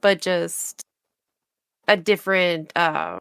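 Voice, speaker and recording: A woman speaking as an interview guest, chatty and giggly, with "like" scattered through her sentences. Her voice is bright and smooth, and the sound is dry and close. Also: on the low side, very animated, takes it slowly, no echo. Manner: giggly, fills her speech with "like". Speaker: guest, VTuber. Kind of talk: casual interview chat.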